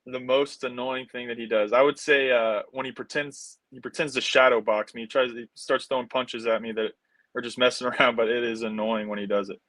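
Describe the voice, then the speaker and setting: A man's voice: medium pitch, fairly even intonation, fairly quick, a bit bright, dry recording. A young man giving brief, polite, laid-back answers as an athlete guest in a casual interview. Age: young adult. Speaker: baseball player guest.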